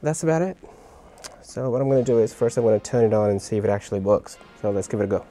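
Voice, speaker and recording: A man's voice: on the low side, lively intonation, quick pace, mellow, faint music behind. A man giving a casual hands-on explainer for a vlog, informal and thinking aloud as he works. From Australia. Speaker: vlogger showing a DIY car radio install.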